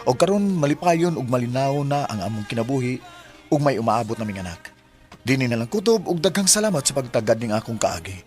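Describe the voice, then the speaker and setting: A man's voice: mid-range voice, expressive, fairly quick, darkish tone, faint music behind, lightly acted. A man acting an aggrieved, earnest son in a Filipino radio drama, with a Cebuano accent and melodramatic delivery. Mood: calm, reflective. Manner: emotional, melodramatic. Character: hot-tempered adult son in love, aggrieved, earnest.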